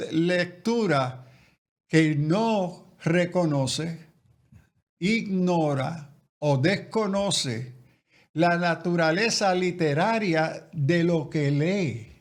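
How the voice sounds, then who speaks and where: Slow, careful pastor explaining theology. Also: male voice, fairly high pitch. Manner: slow, careful, lecturing with restarts. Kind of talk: explanatory interview answers.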